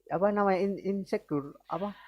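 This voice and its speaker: A man's voice: sits high, fairly quick, no room sound, dull audio. A young man with a strong Javanese accent, hosting a casual chat and joking around. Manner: joking, mixes in Javanese words. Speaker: host.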